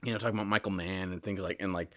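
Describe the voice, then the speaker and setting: A young man with a fairly low voice talks casually as a solo podcast host. He rambles and interrupts himself, repeating words as he goes. His delivery is animated, moving between emphatic bursts and quieter asides. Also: racing. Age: 20s.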